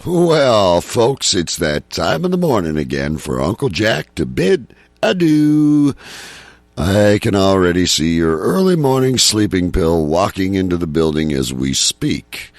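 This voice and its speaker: A crude, cocky late-night radio DJ. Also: male voice, low-pitched, dry recording, full character voice. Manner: brash, crude on-air banter. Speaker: radio DJ character. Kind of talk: radio drama acting.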